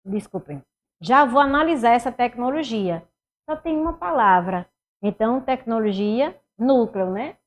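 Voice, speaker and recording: A middle-aged woman with a Northeastern Brazilian accent, teaching an animated online exam-prep class and talking students through each step. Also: on the high side, measured pace. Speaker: Portuguese teacher. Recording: somewhat dull lo-fi sound.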